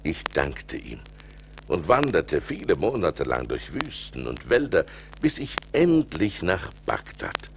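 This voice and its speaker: An actor playing a seafarer who recounts perilous adventures theatrically and deliberately, in an audio play transferred from vinyl. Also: male voice, sits low, huge pitch swings, noisy background, heavily acted. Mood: awed, dramatic. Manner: theatrical, deliberate, swelling with suspense. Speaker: narrator. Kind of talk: dramatic storytelling in an audio play.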